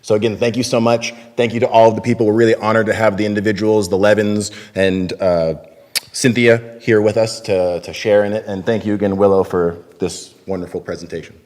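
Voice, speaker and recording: A man's voice: lowish voice, level tone, a little fast, darkish tone. A man in his thirties giving an informal, fluent, appreciative speech as an activist at a podium microphone. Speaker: activist speaker. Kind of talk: informal spontaneous public speech. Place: large synagogue hall, indoors. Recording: reverberant hall sound.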